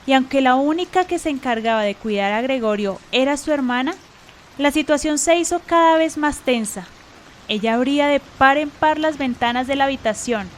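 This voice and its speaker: A woman's voice: high voice, slight background noise. A woman narrator telling a scripted story for a radio drama.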